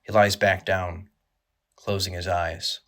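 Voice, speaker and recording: A man narrating a scripted horror audio drama in a measured, grave manner. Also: low voice, level tone, fairly bright tone.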